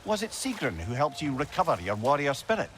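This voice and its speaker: A man's voice: on the high side, brisk, slight background noise. A man playing a chatty, witty, easily irked companion in a video game, with a British accent. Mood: curious, cautious. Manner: talkative, animated. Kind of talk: video game cutscene acting.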